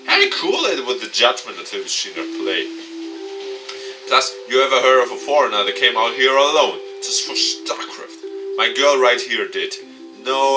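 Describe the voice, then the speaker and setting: A man's voice: mid-range voice, lightly acted. A man voicing an easygoing, protective male pro gamer with a casual, persuading manner in a game. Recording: distant mic with music behind.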